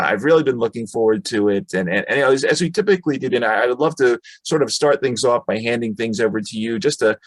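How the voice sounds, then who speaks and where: A male podcast host with a fairly low voice talks very fast in a dry, close sound. He is effusive and wordy as he chats casually with a guest. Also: no room sound. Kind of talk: casual interview introduction.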